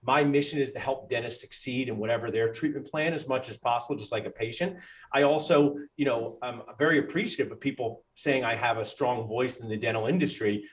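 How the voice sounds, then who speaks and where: A man, a dentist hosting a casual interview, talking in an animated way and jumping in with quick asides. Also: mid-range voice. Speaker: dentist and podcast host.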